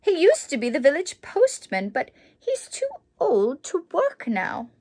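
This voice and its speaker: A woman voicing a gentle, motherly mouse in a storybook, speaking matter-of-factly. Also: high voice, huge pitch swings, a little slow, exaggerated voice. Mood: explaining. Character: motherly adult female mouse, informative and gentle.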